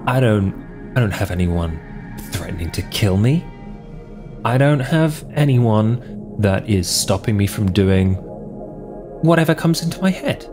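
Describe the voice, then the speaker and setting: A man plays a drifting vampire in a tabletop game, tired and defiant. His voice is fairly low and blunt, coming out in halting, exasperated phrases over faint music. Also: expressive. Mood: weary, defiant. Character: weary, defiant male vampire wanderer. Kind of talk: improvised tabletop roleplay acting.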